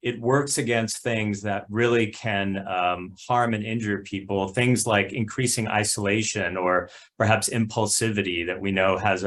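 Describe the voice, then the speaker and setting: Man, low voice, video call, earnest explainer, frequent uhs. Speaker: family wellbeing center staff. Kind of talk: online meeting presentation.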